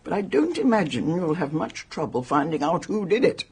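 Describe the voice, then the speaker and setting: A performer playing a blunt, hearty spinster hotel guest in a radio mystery, forthright and emphatic with a husky voice. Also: male voice, on the high side, very animated, normal speed, darkish tone, heavily acted. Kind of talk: radio mystery drama acting.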